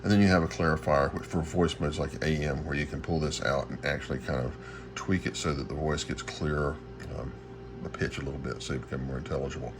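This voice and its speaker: A man with a strikingly deep voice walks through equipment informally, like a hobbyist showing his gear. He often stops to search for the right word. Clear background noise runs through the amateur recording. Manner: halting, searching for words. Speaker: radio hobbyist presenter.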